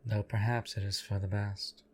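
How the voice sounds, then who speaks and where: A stoic, devoted knight acted by a man speaks in a low, even monotone. His delivery is formal, measured and deadpan, as in an intimate audio drama addressed to his liege. Noticeable background noise sits behind the voice. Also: close to an everyday voice. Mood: stoic flattery. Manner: deadpan, formal, measured, archaic courtly phrasing. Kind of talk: scripted audio roleplay addressed to the listener.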